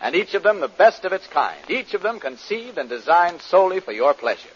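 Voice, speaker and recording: A man's voice: high voice, very animated, fairly quick, husky. A man announcing and narrating in an emphatic, declamatory 1940s radio style. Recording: muffled, noisy vintage radio broadcast.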